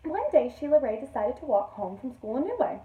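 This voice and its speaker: A woman teacher reading a picture book aloud to young children in an expressive storytelling manner. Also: sits high, brisk, phone-quality sound, background noise. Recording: roomy echo, distant microphone.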